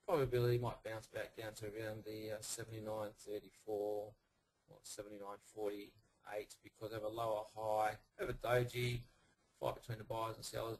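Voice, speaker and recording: A man with a hoarse voice he says he is losing, presenting a live forex trading room and talking through charts with many fillers. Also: fairly low pitch, poor audio. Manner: halting, with many fillers and pointing phrases. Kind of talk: live chart analysis talk.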